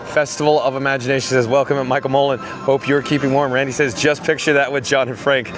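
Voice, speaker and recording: A hoarse man live-streaming as he walks outdoors through a theme park, chatting and reading out messages from his chat. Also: medium pitch, level tone, fast. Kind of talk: live-stream walking commentary.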